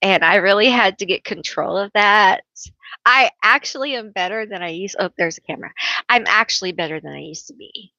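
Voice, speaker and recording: A woman hosting a casual tarot live stream, chatty and teasing herself, laughing often and calling herself a loud yeller. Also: medium pitch, fairly quick, creaky, no echo, highs cut off.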